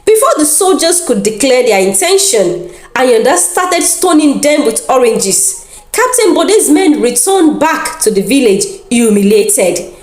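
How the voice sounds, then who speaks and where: A woman narrates a folktale in a theatrical, deliberate and expressive way. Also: sits high, roomy sound. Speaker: storyteller.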